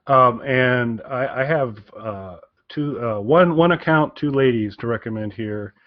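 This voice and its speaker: A man co-hosting a casual podcast chat, speaking in a halting, self-deprecating way. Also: medium pitch, no echo, highs cut off. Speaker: podcast co-host.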